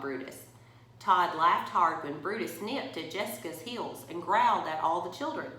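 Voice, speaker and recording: A woman's voice: on the low side, sharp tone, very echoey, rough recording. A woman reading a children's bedtime story aloud, expressively and directly to young listeners. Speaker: story reader for a church.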